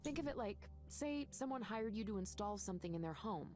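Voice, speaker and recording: An actress voicing a gentle, sympathetic supervisor in a visual novel game, measured and reassuring, explaining things patiently. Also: female voice, mid-range voice, expressive, lightly acted. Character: gentle sympathetic female supervisor of counseling proxies. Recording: music behind the voice.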